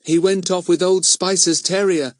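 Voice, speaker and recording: A man voicing a brisk, practical schoolboy chum, chatty and matter-of-fact, in a children's storybook. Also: high-pitched, sing-song, fairly bright tone, no echo, clean studio sound, voice pushed up.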